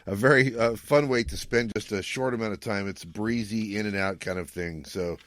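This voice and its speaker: Middle aged guy, lowish voice, just chatting on a podcast recap, no room sound. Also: moderate pace, a bit bright, no echo. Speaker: podcast co-host. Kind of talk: casual chat recapping an episode.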